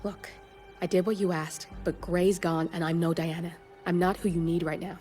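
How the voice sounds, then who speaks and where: A woman's voice: on the low side, steady tone, normal speed, a little mellow, faint music behind, close to an everyday voice. An actress playing a sardonic young hacker who briefs her partner in a blunt, wry, matter-of-fact way. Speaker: hacker and handler. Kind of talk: video game cutscene acting. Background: game score.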